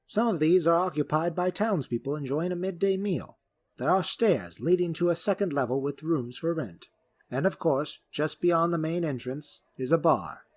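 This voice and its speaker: A man with a husky voice narrating a scripted fantasy story. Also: on the high side, expressive, moderate pace.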